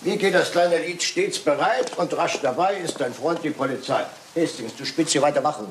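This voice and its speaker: An actor playing a courtly, shrewd private detective in a German radio crime drama, theatrical and probing. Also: male voice, on the high side, moderate pace, noisy background, close to an everyday voice. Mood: polite.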